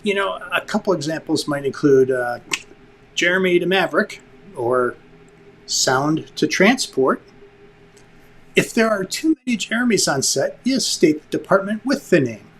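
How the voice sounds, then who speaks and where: Animated man explaining, higher-pitched voice. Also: unhurried, breathy edge, rough recording. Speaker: film director presenting a how-to lesson. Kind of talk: instructional presenting to viewers.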